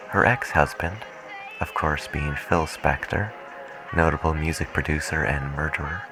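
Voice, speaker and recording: A man speaking softly and half-whispering in an unhurried, musing way, as a late-night radio DJ in an ASMR roleplay. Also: a bass voice, poor audio.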